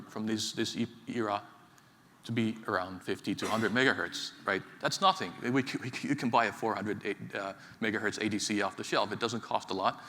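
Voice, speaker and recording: A man from Finland giving a technical conference talk in a large hall, speaking haltingly with many fillers and repeated words. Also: lowish voice, pushed and bright, slight background noise. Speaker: conference speaker, associate professor. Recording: reverberant hall, faint ambience.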